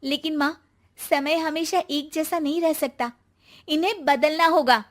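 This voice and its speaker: A woman's voice: high voice, steady tone, a little slow, heavy background noise, voice pushed up. A woman voicing a frustrated, outspoken young daughter-in-law in a cartoon family story. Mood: pleading, firm.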